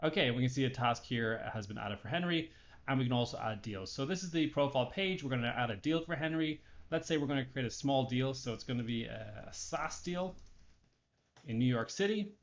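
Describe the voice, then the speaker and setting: A man presents a software tutorial in a mid-pitched voice. He narrates informally over a screen share, explaining each action step by step. The recording is a little dull and lo-fi. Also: expressive, brisk.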